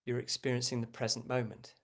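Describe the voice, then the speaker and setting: A man leading a guided meditation as a teacher, speaking gently and without hurry, with frequent pauses. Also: mid-range voice, completely flat delivery.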